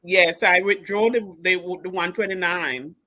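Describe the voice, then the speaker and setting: Hesitant woman caller, bright dry voice. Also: on the low side, steady tone, no room sound. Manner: hesitant, halting, many fillers. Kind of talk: call-in question.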